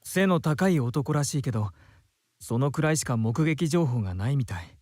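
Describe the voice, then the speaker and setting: A man's voice: mid-range voice, animated, quick pace, darkish tone, no room sound, studio quality, lightly acted. A man playing a flamboyant, gossipy bar character in a Japanese visual novel, with music behind. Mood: gossipy, concerned.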